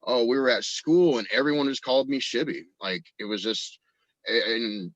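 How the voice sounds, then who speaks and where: A man's voice: medium pitch, moderate pace, no echo, highs cut off. A man in a casual chat as a guest, telling personal anecdotes in an informal, rambling way. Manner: informal and rambling, quotes people in acted snippets. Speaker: podcast guest, audio drama actor and writer.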